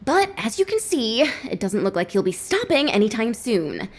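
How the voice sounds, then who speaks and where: A woman's voice: fairly high pitch, sing-song, moderate pace. An actress playing a restless, emotional young woman in a video game cutscene, exasperated and rambling.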